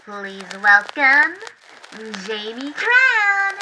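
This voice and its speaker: A woman playing a composed radio host in a scripted broadcast interview, formal and measured as she prompts her guest. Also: high voice, big pitch swings, noisy background, heavily acted. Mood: poised, curious.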